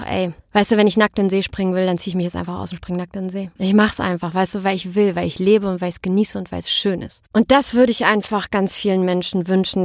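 A woman speaking as an interview guest in a candid, colloquial and expressive way, telling personal stories casually. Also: medium pitch, dry recording, studio quality.

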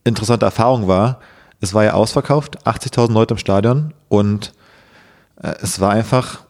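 A young man, slightly hoarse, chatting casually as a podcast co-host and rambling with many fillers. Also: lowish voice, moderate pace, a little mellow.

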